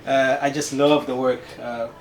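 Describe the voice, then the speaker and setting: A man from Rwanda with a Rwandan accent, earnestly giving a personal account at a meeting in a measured, halting way. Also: mid-range voice, little pitch movement, a little hiss or hum, poor audio. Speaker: community meeting participant, city employee.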